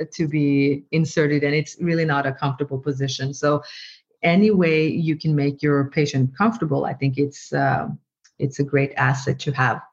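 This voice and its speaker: A woman, a doctor speaking as an interview guest, answering thoughtfully and often repeating words while she finds her phrasing. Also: low voice. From Norway. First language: Norwegian. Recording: slightly muffled, lo-fi.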